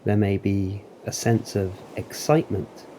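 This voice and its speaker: A man narrating a guided meditation in a calm, deliberate, soothing manner with long pauses. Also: on the low side, slight background noise. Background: waves.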